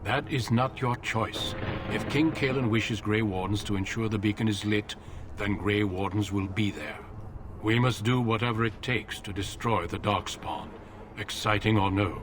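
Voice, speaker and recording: A dutiful older warrior commander from a fantasy game, played by a male actor. His low voice is solemn and measured, carrying firm authority. Noise sits clearly behind it. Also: lightly acted. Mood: grave, firm. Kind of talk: dramatic fantasy video game dialogue.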